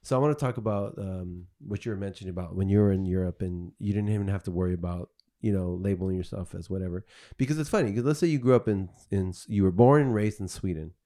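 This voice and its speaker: A man's voice: sits low, fast, few pauses, warm tone. A man hosting a podcast, chatting casually and thinking aloud with many restarts. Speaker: podcast host.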